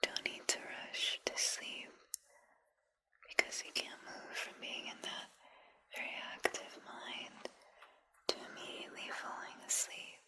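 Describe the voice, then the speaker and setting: A woman whispers very slowly and softly, guiding the listener toward sleep. Her whisper is bright and airy, with long unhurried pauses between phrases. She sits close to the microphone. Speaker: ASMR sleep hypnosis guide. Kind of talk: whispered ASMR sleep hypnosis.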